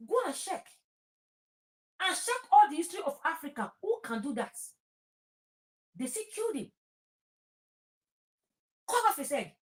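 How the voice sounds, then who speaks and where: High-pitched Nigerian woman, emphatic talker. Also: big pitch swings, a little slow, pauses often. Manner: emphatic, repeats phrases, slips into Pidgin. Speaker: talk show host. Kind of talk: impassioned informal political talk.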